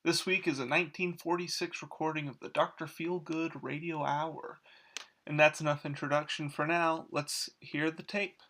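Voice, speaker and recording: A man playing a solemn archive radio podcast presenter, reading scripted narration in a formal, measured way. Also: fairly high pitch, steady tone, fairly bright tone. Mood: formal, subdued.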